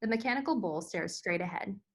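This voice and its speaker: Woman narrator with medium pitch. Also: expressive, fairly quick, husky. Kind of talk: scripted narration of screenplay directions.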